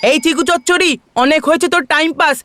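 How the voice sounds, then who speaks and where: A very high, childlike cartoon voice, bossy and quick to scold. The delivery is whiny and exaggerated, with light music underneath. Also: female voice, fairly even intonation, a bit bright. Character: bossy, quarrelsome young child in a comic cartoon. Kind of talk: animated cartoon dubbing.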